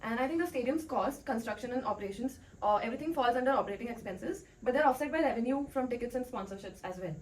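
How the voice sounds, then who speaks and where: Quick, higher-pitched woman debating business. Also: lively intonation, a little hiss or hum, low-quality recording. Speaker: debate panelist playing an executive. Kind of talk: prepared business argument.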